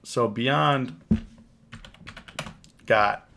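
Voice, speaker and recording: A man with a gravelly, fry-laden voice, hoarse from a cold, talking through his trades on a live stream. He rambles and restarts, repeating words as he goes over his numbers. His pitch sits in the ordinary middle for a man. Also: drawn-out words, sharp tone, slight background noise. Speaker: options trading live streamer. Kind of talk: casual live-stream market recap. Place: home, on camera.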